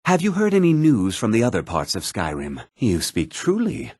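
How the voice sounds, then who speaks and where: Husky, pompous fantasy nobleman. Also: mid-range voice, huge pitch swings, normal speed, darkish tone, dry recording, clean studio sound, heavily acted. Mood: formal, measured. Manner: pompous, condescending, theatrical. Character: haughty, self-important male noble in a fantasy realm. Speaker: video game voice actor. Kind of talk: scripted fantasy game dialogue lines.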